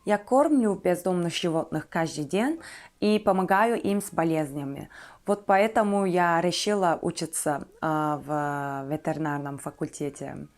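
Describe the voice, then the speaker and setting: A woman in her twenties from Turkey, a native Turkish speaker with a Turkish accent, talking to viewers about herself as a vlogger in careful, slightly halting phrases. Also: on the low side, darkish tone. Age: 20s.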